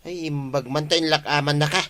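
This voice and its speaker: A man's voice: on the high side, fairly even intonation, a little fast, fairly bright tone, lightly acted. A man with an Ilocano Filipino accent playing a shifty, scheming villager in a comedy radio drama. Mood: curious.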